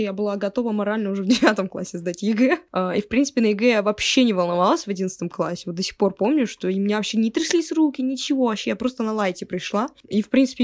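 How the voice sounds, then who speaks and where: Relaxed young woman, dry close sound. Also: mid-range voice, no room sound. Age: 20s. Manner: relaxed, laughs easily, slangy. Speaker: podcast co-host. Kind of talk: casual podcast chat.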